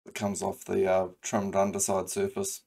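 A man with a New Zealand accent explains a design workflow over a screen recording. His voice sits low and stays fairly flat. He talks casually and thinks out loud, padding his sentences with ums and half-finished restarts. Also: moderate pace, gravelly. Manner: relaxed, thinking aloud with many ums and restarts. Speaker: CAD design tutor. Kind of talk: screen-recorded software tutorial.

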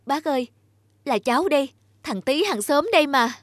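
A woman voicing a poor, grateful young male scholar in an animated folk tale, polite and earnest. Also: sits high, moderate pace, a little hiss or hum. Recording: music behind the voice.

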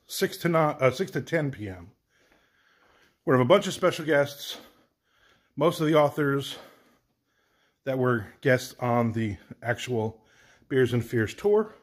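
Rambling bearded man on phone vlog. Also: medium pitch. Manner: rambling, blunt, wry. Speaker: author vlogger. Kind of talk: casual walk-and-talk update. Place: home, indoors and backyard. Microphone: phone held at arm's length.

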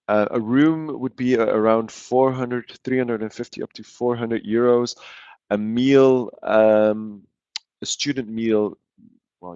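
Low-voiced man on a headset webinar call. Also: darkish tone, no echo. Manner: hesitant, many fillers and restarts. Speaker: university webinar host. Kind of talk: live webinar presentation. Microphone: headset on a video call. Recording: dry, dull lo-fi call audio.

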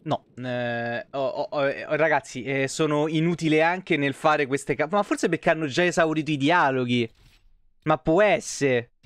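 High-pitched man streaming casually. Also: moderate pace, a bit bright, no room sound. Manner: rambling, sweary. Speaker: game streamer. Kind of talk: casual live stream chat. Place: small room at home. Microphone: close desk microphone on an arm.

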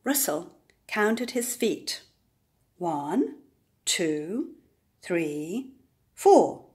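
An older woman reads a children's picture book aloud at a slow, careful pace. Her pitch rises and falls widely, putting dramatic emphasis on key words for young listeners. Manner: deliberate, expressive storytelling with dramatic emphasis. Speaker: children's story reader.

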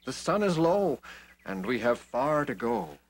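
A man voicing an aged, kindly prophet for a children's Bible story, speaking in a solemn, deliberate way. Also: mid-range voice, sing-song, slight background noise. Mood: courteous.

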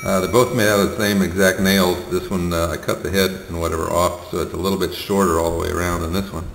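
A middle-aged man with a deep, gravelly voice talks through his home projects in a plain, halting way, full of fillers. The room is bare and echoey with a long reverb tail, and a faint hum of ambience sits behind him. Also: flat delivery, fairly bright tone. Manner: hesitant, plain-spoken, many pauses and fillers. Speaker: hobby welder showing his projects. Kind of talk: casual show-and-tell narration.

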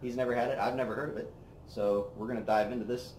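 A young man hosting a whiskey review, chatting casually on an outdoor patio, relaxed and rambling with plenty of fillers. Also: medium pitch, fast, a little hiss or hum. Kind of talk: casual tasting chat. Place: outdoor patio by a brick wall. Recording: roomy outdoor sound with faint ambience.

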